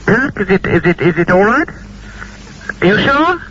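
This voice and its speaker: An actor playing a flustered, jokey 1920s radio announcer, hesitant and rambling in a recreated early broadcast. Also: male voice, high-pitched, very animated, unhurried. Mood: excited fussing. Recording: dull radio sound, crowd noise behind.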